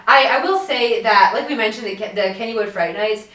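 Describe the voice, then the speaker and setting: A young woman co-hosting a casual podcast, telling stories in an animated way with lots of fillers. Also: medium pitch, fairly quick, far from the mic. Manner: animated storytelling, many fillers. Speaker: podcast co-host. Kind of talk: casual chat. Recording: echoey room, voice off-mic.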